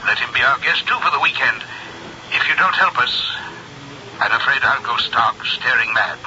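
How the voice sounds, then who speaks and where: An actor playing a desperate, flustered English aristocrat who speaks haltingly and anxiously, in 1970s radio drama style. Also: male voice, mid-range voice, lively intonation, bright, forceful tone, slight background noise. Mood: desperate, pleading. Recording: thin, muffled old radio broadcast.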